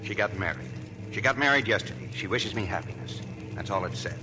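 A man's voice: lowish voice, animated, a little fast, close to an everyday voice. A man playing a curt, bitter army lieutenant. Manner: curt, clipped, sore. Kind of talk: 1940s radio acting. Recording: noisy old radio broadcast.

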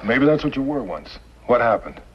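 A man's voice: medium pitch, very animated, normal speed, close to an everyday voice. A man in his thirties playing a blunt, skeptical cowboy outlaw in a 1950s TV western, with a sardonic delivery. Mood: scornful. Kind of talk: 1950s television western acting. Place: small wooden cabin set, indoors. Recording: muffled, noisy old 1950s TV soundtrack.

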